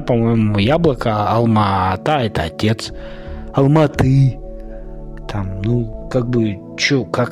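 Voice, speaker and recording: A man hosting a podcast, talking in an informal, opinionated monologue with rhetorical questions and halting, colloquial phrasing that repeats words. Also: medium pitch. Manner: argumentative, poses rhetorical questions. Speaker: podcast host.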